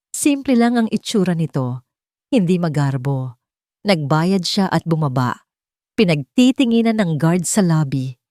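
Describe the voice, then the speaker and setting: A woman's voice: on the low side, a little mellow, no echo, clean studio sound. A woman narrating a scripted romantic melodrama in a dramatic storytelling style.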